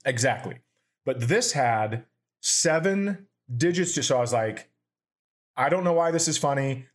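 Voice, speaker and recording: A man hosting a podcast, telling stories in a casual, profane, animated way. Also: medium pitch, unhurried. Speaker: podcast host.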